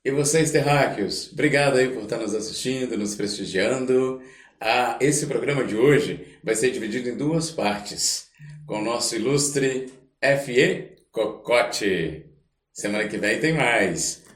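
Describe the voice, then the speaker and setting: A man's voice: medium pitch, unhurried. A man with a Brazilian Portuguese accent hosting a podcast and interviewing in a conversational style. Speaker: podcast host. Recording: echoey room, faint noise.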